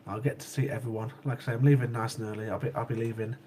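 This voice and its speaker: Man, sniffly cold, rambling livestream host, mid pitch, faint hiss. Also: steady tone, racing, dark tone. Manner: chatty, repeats himself, laughs easily. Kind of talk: casual chat with live chat viewers.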